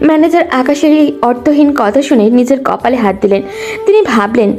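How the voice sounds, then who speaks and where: High, mellow female story narrator. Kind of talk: scripted romantic story narration.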